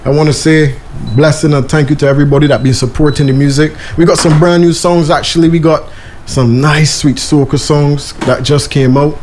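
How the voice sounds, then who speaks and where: A young man from Guyana with a Bajan accent, a soca artist giving a casual, laid-back radio interview full of shout-outs. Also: a little high, flat delivery, fairly quick, slight background noise.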